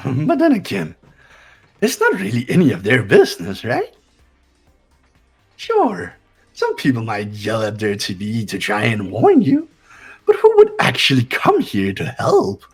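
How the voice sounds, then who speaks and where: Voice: medium pitch, huge pitch swings, unhurried, long pauses, husky, faint music behind, exaggerated voice. A performer voicing a gleeful, sadistic mascot bear in a fan-voiced video game, taunting and theatrical.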